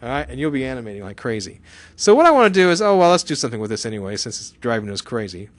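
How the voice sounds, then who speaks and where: Guy doing a casual software tutorial, talks really quick, chatty, keeps checking in with little questions. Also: mid-range voice, big pitch swings, no room sound. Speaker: software instructor.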